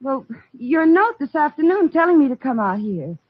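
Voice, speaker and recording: An actress playing a frightened young nightclub woman with a halting, anxious delivery in a 1940s radio drama. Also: high voice, very animated, dry recording. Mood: panicked. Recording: muffled, dull vintage radio recording.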